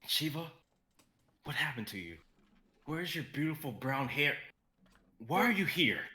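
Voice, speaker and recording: A young man playing an earnest, determined fantasy hero in a dramatic reading, speaking with halting passion. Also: a little high, very animated, sharp tone, pitched above the performer's own voice, full character voice. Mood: distressed.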